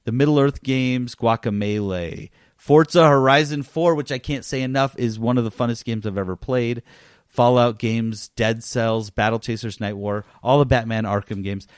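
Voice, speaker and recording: A man's voice: medium pitch, moderate pace, smooth, no room sound, studio quality. A man co-hosting a podcast, chatting casually and emphatically about games. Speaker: podcast co-host. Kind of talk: casual gaming chat.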